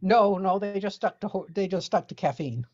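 A woman reading a research paper aloud as a dramatic reader, halting and sometimes losing her place. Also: medium pitch, a little mellow. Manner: halting, loses place, repeats phrases. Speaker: dramatic reader at a comedy science session. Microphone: video call. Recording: dull, lo-fi video call audio.

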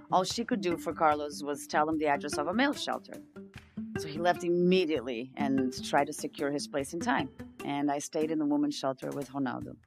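A low-pitched, weary woman confides her hardships like a conversation, in an audio-drama monologue. She sounds earnest and down on her luck, with guitar music clearly behind her. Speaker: narrator-protagonist of an audio drama. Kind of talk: first-person dramatic monologue. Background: plucked guitar music.

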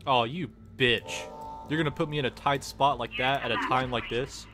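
A man's voice: mid-range voice, steady tone, normal speed. Sarcastic, foul-mouthed man over game music. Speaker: gameplay series creator voicing the player character. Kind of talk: comedic improvised-sounding game dub commentary.

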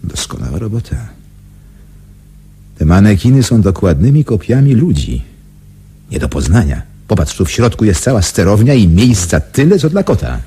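An actor in a radio drama playing a sardonic, excitable secret-service major with a husky voice. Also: male voice, lowish voice, normal speed, exaggerated voice. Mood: grimly impressed.

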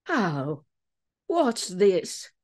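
A woman voicing an incredulous male deputy in a storybook, exclaiming in gruff disbelief. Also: mid-range voice, animated, takes it slowly, voice pushed up.

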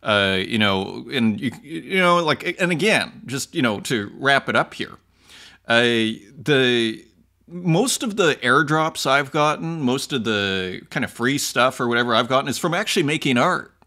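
Chatty man with a bright voice. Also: medium pitch, expressive, unhurried. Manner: chatty, rambling with many fillers and restarts. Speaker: artist vlogger reading viewer comments. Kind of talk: casual monologue to camera. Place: home room with bookshelves, indoors. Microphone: close webcam-style framing.

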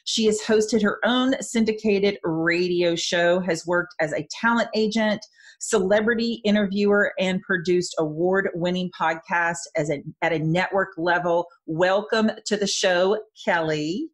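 A Southern American woman hosting a podcast interview, talking in a chatty, enthusiastic way. Her voice sits a little low and has a husky edge. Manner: chatty, enthusiastic, quick asides. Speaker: podcast host. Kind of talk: casual interview chat.

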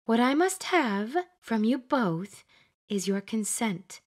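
A woman voicing a fussy, chattering older witch who plays matchmaker, bossy and brisk in an audiobook. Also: a little high, lively intonation, a little mellow, voice pushed up. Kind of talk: audiobook character voice.

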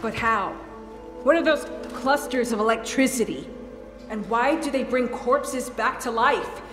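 A tough female field operative in a video game, with a fairly high voice. She is blunt, commanding and profane. Her words ring in an echoing space over clear music. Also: measured pace, a bit bright. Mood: demanding, wary. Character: tough, foul-mouthed adult female special agent on a mission. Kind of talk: video game cutscene acting. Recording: echoey hall reverb, music behind.

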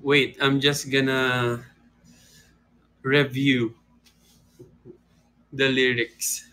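A man's voice: mid-range voice, little pitch movement, very slow, sharp tone. A young man hosting a casual phone livestream from home, chatting with viewers and breaking into playful sung lines. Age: young adult. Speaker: livestream host. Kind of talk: casual livestream chat with singing. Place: home room indoors. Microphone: phone camera close up.

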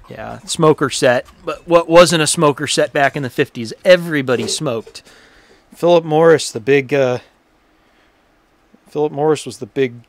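A man giving casual, hands-on repair commentary in an informal, unhurried way, thinking aloud as he works. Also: mid-range voice. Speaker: repairer presenting his own workshop video.

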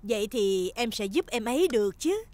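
A woman's voice: fairly high pitch, sing-song, moderate pace, dry recording. A woman voicing a kindly, encouraging antelope schoolteacher in a children's cartoon dub. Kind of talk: dubbed children's cartoon acting. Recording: loud music behind the voice.

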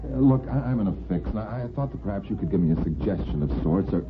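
A tinny old radio drama recording, with an actor as a flustered man in a fairly low voice. He is hesitant and awkward, like a soap opera visitor asking for help. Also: expressive, fast talker, background noise, lightly acted. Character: flustered, hesitant male caller seeking advice.

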